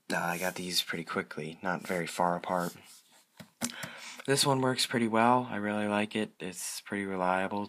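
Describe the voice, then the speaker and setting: A man presenting his hobby in a casual, unscripted show-and-tell, halting and correcting himself as he goes. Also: fairly low pitch, a bit bright. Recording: clearly noisy amateur home recording.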